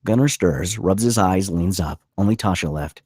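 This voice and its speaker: A man's voice: sits low, normal speed, darkish tone, breathy edge, dry recording, clean studio sound. A man reading the stage directions as scripted narration at a screenplay table read.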